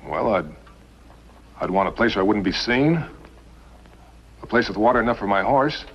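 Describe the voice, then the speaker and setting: A man's voice: fairly low pitch, animated, moderate pace, slightly rough. An American actor in his thirties playing a wary, doubtful outlaw henchman in a 1950s TV western. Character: wary male outlaw henchman, doubtful but willing accomplice. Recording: dull old 1950s television soundtrack.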